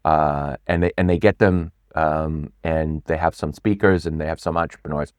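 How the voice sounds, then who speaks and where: Deep-voiced man, animated and repetitive. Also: normal speed, clear, resonant tone, no echo. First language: not Swedish; English speaker. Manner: animated, repeats words, lively stresses. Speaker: pitch coach guest. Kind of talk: casual interview talk.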